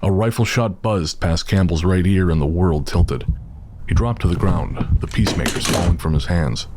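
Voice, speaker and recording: A man's voice: sits low, moderate pace, darkish tone, a little hiss or hum. A man narrating a scripted dramatic story, with music behind him.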